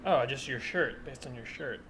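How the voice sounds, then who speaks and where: A man's voice: mid-range voice, moderate pace, fairly bright tone, natural voice, low-quality recording. A man playing a cynical, opinionated amateur historian out jogging in an improvised comedy scene, talking casually with hesitant, rambling, questioning phrasing. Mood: casual, curious. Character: cynical, self-styled militia historian jogger, apologetic yet opinionated. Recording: background noise of outdoor soundscape. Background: added outdoor ambience soundscape.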